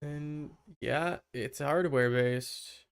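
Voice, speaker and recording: A man's voice: fairly high pitch, very slow, creaky, clear, resonant tone. A man chatting casually on a game livestream, thinking aloud and muttering to himself. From Canada. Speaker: game livestreamer using an avatar.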